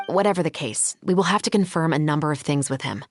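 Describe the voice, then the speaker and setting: A woman playing a resolute, composed musketeer captain in a video game, speaking in a measured, authoritative manner. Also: sits low, a little hiss or hum, close to an everyday voice. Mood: composed, firm.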